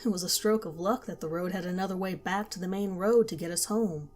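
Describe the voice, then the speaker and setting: A woman narrating a first-person horror story in a calm, measured storytelling manner. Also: lowish voice. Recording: faint background music.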